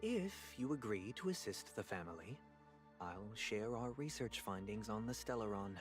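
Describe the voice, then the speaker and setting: A male actor playing a suave, suspicious interrogator in a video game, speaking in a measured, formal, probing way. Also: fairly low pitch, lively intonation, close to an everyday voice. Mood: calmly persuasive. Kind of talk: scripted video game dialogue. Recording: music behind the voice. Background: music.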